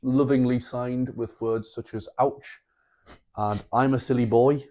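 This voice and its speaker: A man's voice: mid-range voice, steady tone, a little slow, a little mellow. A man co-hosting a podcast, telling stories with casual, sweary banter. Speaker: podcast co-host.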